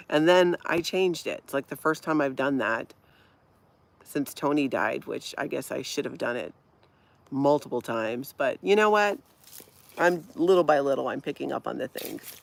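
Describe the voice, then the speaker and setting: Middle-aged woman, light Minnesota accent, gravelly fry, chatty livestream host, laughs a lot. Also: low voice. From Hawaii. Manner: chatty, laughs often, reacts to viewer comments. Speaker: livestream host reading chat. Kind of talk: casual live-chat talk.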